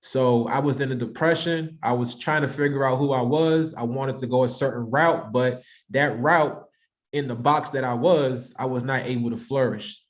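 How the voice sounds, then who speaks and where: Slightly muffled online call audio, American man, thirties, mid-pitched voice, conversational presenter, reflective delivery. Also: normal speed. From Washington, D.C., United States. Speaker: author and presenter hosting a virtual book club. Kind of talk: informal presentation to an online audience.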